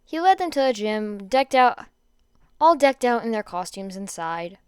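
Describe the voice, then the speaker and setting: Female podfic narrator, smooth clean voice, dry close sound, stumbles and repeats phrases. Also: on the high side, sing-song, measured pace, no room sound. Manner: stumbles over words and rereads phrases. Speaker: podfic reader. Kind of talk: amateur fan fiction narration read aloud.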